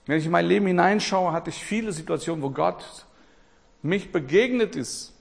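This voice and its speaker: A man from Portugal preaching a sermon with passion, repeating his phrases and swinging between loud and quiet. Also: high-pitched, moderate pace, creaky.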